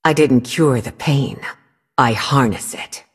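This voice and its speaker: An actress voicing a proud, menacing cursed sorceress queen for a game, with a husky, breathy tone and a slow, haughty delivery. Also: extremely low pitch, full character voice. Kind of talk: game character voice lines.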